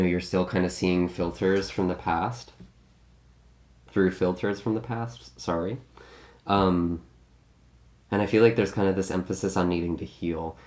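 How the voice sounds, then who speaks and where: A man giving a casual tarot reading, slightly raspy from a recent illness, musing and thinking aloud with many hedges and restarts. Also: sits low, a little mellow. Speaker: tarot reader.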